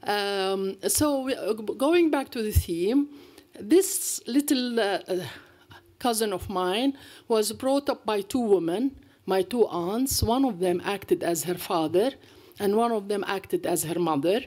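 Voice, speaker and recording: Older palestinian woman telling stories in a lecture, lively, gesturing a lot at the podium mic. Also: mid-range voice, slow pace. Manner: anecdotal and animated, with gestures. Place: lecture hall. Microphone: podium microphone.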